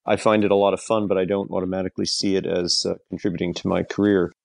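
A middle-aged man with a very low, gravelly voice, answering interview questions for a podcast. He talks in a measured way and often pauses on "uh". The sound is dry and close. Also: flat delivery, dry recording. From Europe. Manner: measured, frequent "uh" fillers. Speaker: leadership consultant, guest.

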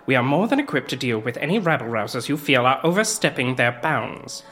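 Dutiful captain: low, animated, formal, earnestly insistent audio drama read. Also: female voice, fairly quick, faint music behind. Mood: deferential. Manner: deferential but insistent, formal. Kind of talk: scripted audio drama acting.

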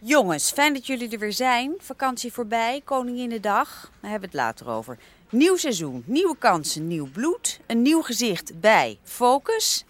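Casting: pushy female chief editor, radio comedy, lively intonation, brisk authority, impatient with interruptions. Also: fairly high pitch. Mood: brisk, managerial. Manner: brisk, bossy, breezy, cuts others short.

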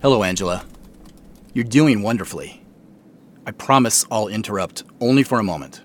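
An actor playing a contrite middle-aged company founder and CEO, speaking in a measured, formal way with deliberate pauses in an audio drama. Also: male voice, on the low side, slight background noise, lightly acted. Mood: gracious, composed. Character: earnest, contrite male company founder and CEO addressing staff. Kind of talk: naturalistic audio drama acting.

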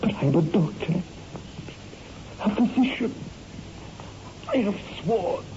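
An actor playing a tormented married doctor driven toward murder, halting and melodramatic, in 1960s radio horror style. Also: male voice, high voice, animated. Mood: brooding, tormented. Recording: muffled, noisy old radio recording.

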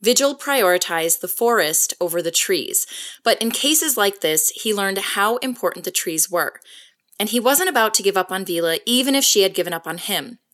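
A woman playing a reflective case historian, giving measured scripted documentary-style narration in an audio drama. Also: mid-range voice, expressive, a bit bright, lightly acted. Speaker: narrator and host.

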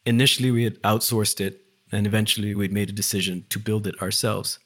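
A man in his forties from Ethiopia, a company CEO appearing as a guest, telling his story in a relaxed, reflective way with occasional fillers. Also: lowish voice, a little fast. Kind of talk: conversational storytelling.

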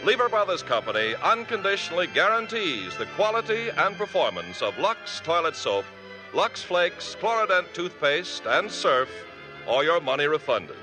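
A man announcing the cast credits and commercials on a 1950s radio show, with a smooth, persuasive delivery. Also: on the high side, big pitch swings, normal speed, over music, poor audio.

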